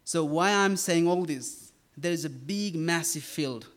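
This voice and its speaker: A man's voice: a little high, fairly bright tone. Earnest young man from Nepal. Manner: earnest, halting with fillers and restarts. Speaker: campus ministry worker. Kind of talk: church talk and sermon.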